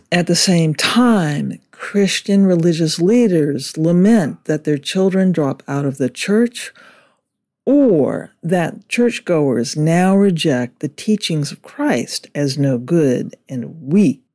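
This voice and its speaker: A man reading a scripted political commentary in a measured, indignant way. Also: fairly high pitch, expressive, darkish tone.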